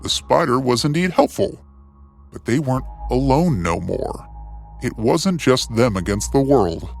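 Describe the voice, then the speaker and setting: A man's voice: medium pitch, lively intonation, a little slow, creaky. A man narrating a scripted adventure story in a dramatic storytelling style. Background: soft music.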